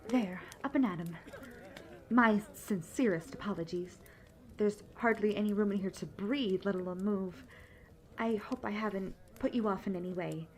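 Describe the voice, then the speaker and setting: A woman playing a cheerful, polite young travelling bard who chats brightly and directly to the listener in a fantasy audio roleplay. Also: medium pitch, moderate pace, lightly acted. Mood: flustered, apologetic. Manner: chatty, bubbly, polite.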